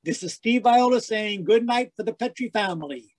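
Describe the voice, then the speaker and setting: A man playing an eager, cheery 1940s radio announcer and sponsor pitchman. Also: normal speed, close to an everyday voice. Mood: formal sign-off.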